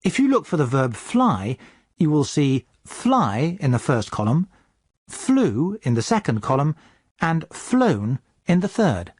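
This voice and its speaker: Male teacher with lively intonation. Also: on the high side, slow, no echo, clean studio sound. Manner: clear step-by-step explaining. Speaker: English teacher. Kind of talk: scripted language lesson.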